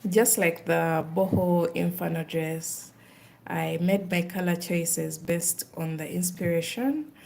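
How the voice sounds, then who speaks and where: Ugandan lady chatting on a craft livestream, real slow, bit of creak in her voice. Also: fairly low pitch, darkish tone. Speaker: crochet craft streamer. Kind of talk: casual live stream chat while crafting.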